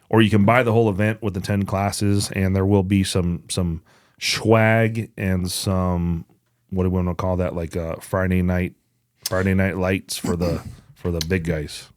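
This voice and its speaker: Casting: man with a low voice, blunt and sweary podcast co-host, casual delivery, dry close sound. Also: no echo. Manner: blunt, profane. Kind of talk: casual podcast chat about hunting and events.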